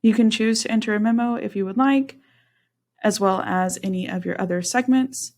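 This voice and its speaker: A woman narrates a software demonstration in an instructional, step-by-step way. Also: mid-range voice, normal speed. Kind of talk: screen-share software tutorial narration.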